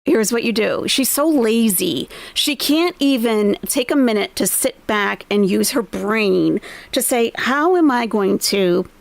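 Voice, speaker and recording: A woman's voice: on the high side, creaky, no room sound. An American woman giving blunt, sarcastic commentary on a live stream, scolding the streamer. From United States.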